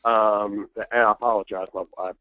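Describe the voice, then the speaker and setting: A salesman on a team call, speaking with a hoarse voice, soft and apologetic. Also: lowish voice, fairly even intonation, normal speed, highs cut off, a little hiss or hum.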